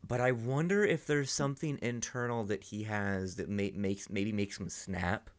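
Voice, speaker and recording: Low-voiced man musing through a reaction. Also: steady tone, moderate pace. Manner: musing, thinks aloud, repeats words. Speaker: reaction video host. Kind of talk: casual reaction commentary.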